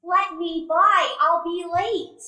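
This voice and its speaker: A woman's voice: very high voice, steady tone, takes it slowly, bright, forceful tone, exaggerated voice. A woman playing a timid young boy in a live radio play, pleading and whiny. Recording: echoey live theater hall.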